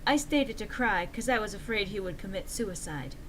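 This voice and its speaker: A woman narrates fan fiction at a middle pitch. Her delivery is theatrical and exaggerated, played mock-earnest. Faint noise sits behind the voice. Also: lively intonation, normal speed, a bit bright. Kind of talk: dramatic reading of fan fiction.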